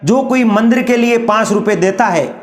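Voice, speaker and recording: A man narrating a folk story in an unhurried, drawn-out way, often calling his listeners friends. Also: high-pitched, echoey room.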